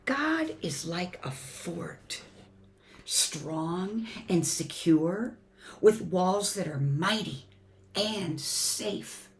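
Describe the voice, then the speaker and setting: Slow-paced woman reading to children. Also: lowish voice. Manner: expressive, deliberate, shifting between emphatic and hushed. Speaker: church family ministry storyteller. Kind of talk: children's picture book read-aloud.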